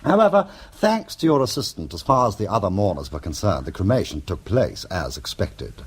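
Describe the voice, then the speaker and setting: An actor playing a wry, sardonic, quick-witted criminal schemer in a radio drama. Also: male voice, sits low, sing-song, slightly rough, full character voice. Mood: smooth bluffing. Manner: sardonic, bantering. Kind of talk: radio drama acting and narration.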